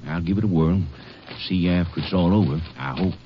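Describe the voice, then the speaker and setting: A man's voice: low-pitched, a little fast, lightly acted. A man acting an obliging but nervous drive-in theater manager in a 1950s radio drama. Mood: willing, uneasy. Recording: dull 1950s radio broadcast.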